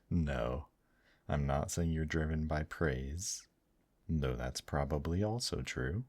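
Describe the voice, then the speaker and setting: A man voicing a gentle, teasing male demon in an intimate ASMR roleplay, speaking softly and courteously to the listener. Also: a bass voice, dry recording, clean studio sound, heavily acted. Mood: sincere, tender. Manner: slow, soft, courteous, affectionate teasing with endearments. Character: gentle, teasing male demon brothel worker, tender and attentive.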